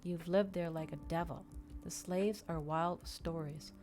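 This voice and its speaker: A woman's voice: fairly low pitch, steady tone, a little slow, a little mellow, lightly acted. A woman voicing a frightened plantation woman who speaks in an urgent, accusing way in an audiobook.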